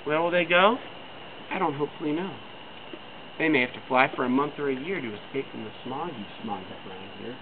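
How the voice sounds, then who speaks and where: A man voicing a small grumpy male forest creature who scolds and croaks with a cough. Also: mid-range voice, expressive, brisk, natural voice. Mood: mournful. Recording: tinny phone-like line with loud noise.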